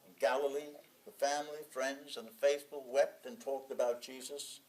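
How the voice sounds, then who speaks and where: A man reading a sermon from a prepared text in a measured, deliberate way, leaning on key phrases and pausing between them. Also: mid-range voice, little pitch movement, darkish tone, poor audio. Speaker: sermon reader in a church congregation.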